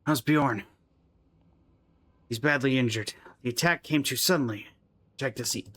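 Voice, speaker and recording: A man's voice: mid-range voice, measured pace, a bit bright. Man reading as grave, earnest fantasy soldiers. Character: earnest soldiers speaking gravely over a wounded captain. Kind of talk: reading game story dialogue aloud.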